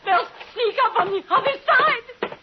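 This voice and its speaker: Terrified, very high-pitched frontier bride. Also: female voice, lively intonation, measured pace. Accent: American. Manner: breathless, moaning, pleading. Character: Lila, terrified frontier bride, pleading and helpless. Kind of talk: melodramatic 1950s radio acting. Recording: muffled, very noisy vintage radio recording.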